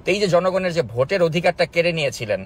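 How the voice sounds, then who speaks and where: A man's voice: fairly high pitch, expressive, racing, over a noisy crowd. A man with a Bangladeshi accent interviewing as a journalist, persistent and challenging, on a recorded call. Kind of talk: confrontational political interview. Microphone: recorded phone call. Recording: faint noise on recorded call.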